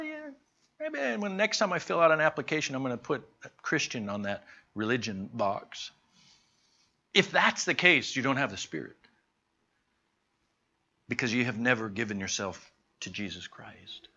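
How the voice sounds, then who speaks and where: A man teaching a Bible class in an earnest, conversational way, slowing down to stress his points. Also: mid-range voice, pushed and bright. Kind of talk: sermon-style Bible teaching. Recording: dull lo-fi recording.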